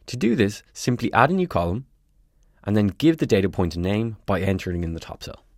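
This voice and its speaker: A man presenting a scripted tutorial, giving clear step-by-step instructions in a close, dry voice-over recording. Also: on the low side, lively intonation, brisk, darkish tone, no echo.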